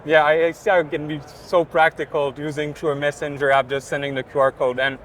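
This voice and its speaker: A man's voice: on the high side, little pitch movement, brisk. A man co-presenting as a casual interviewer at a trade show, asking questions in an enthusiastic, agreeable way. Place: busy indoor trade show booth. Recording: constant trade show floor noise. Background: exhibition hall ambience.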